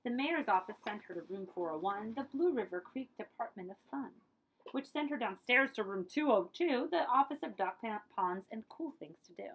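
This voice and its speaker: Very animated woman reading a storybook. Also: a little high, moderate pace, slightly rough, low-quality recording. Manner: animated, dramatic storytelling emphasis with friendly asides. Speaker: librarian reading aloud. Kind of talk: expressive children's picture book read-aloud.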